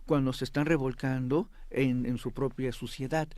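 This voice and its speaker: A man's voice: medium pitch, steady tone, moderate pace, a little mellow, no room sound. A man speaking as an expert guest in a studio interview, explaining with emphasis and often checking that the listener follows. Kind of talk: interview commentary on politics.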